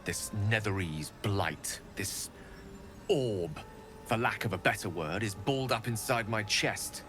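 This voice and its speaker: A learned wizard in a fantasy game, speaking on the low side. His delivery is florid and measured, an earnest, theatrical confession. Music plays clearly behind him. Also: pushed and bright. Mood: somber. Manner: eloquent, florid, measured dramatic phrasing. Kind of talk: dramatic storytelling dialogue in a fantasy game.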